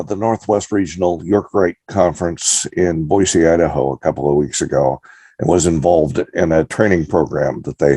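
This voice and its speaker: An older man giving a lodge presentation over a video call, pausing now and then to sort out his screen. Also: sits low, creaky, mellow, slightly rough, no echo. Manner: halting, pauses while sorting out his screen. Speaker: guest speaker. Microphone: computer mic on a video call.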